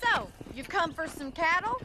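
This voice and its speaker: An actress playing a spirited, frank young rancher's daughter in a game, playfully sardonic. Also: female voice, extremely high pitch, very animated, normal speed, pushed and bright, heavily acted, rough recording. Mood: teasing. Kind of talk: video game cutscene acting. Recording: outdoor horse noise, neighing.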